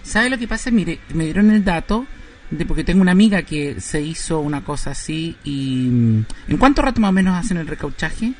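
A man's voice: a little high, animated, brisk, slight background noise, lightly acted. A man voicing a chatty, nosy woman caller who keeps pressing with questions on a prank call. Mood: pestering.

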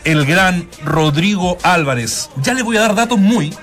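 Playful radio host over music. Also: male voice, high-pitched, lively intonation, unhurried, a bit bright. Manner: playful, jokey, with asides to the panel. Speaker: radio sports show host. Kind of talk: lively radio tribute introduction. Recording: music playing behind.

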